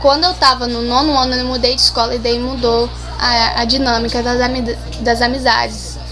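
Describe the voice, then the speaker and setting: High-pitched brazilian teen girl, shy, lots of ums and restarts, music in the back. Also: flat delivery, normal speed, poor audio. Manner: shy, hesitant, many restarts and fillers. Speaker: interview guest. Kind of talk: casual conversational answers.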